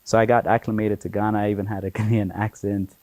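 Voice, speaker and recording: A man in his forties from Ethiopia, a guest telling stories about his childhood in a relaxed, chatty way, with lots of "you know" and easy laughter. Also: fairly low pitch, fast, no echo. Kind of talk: relaxed conversational storytelling.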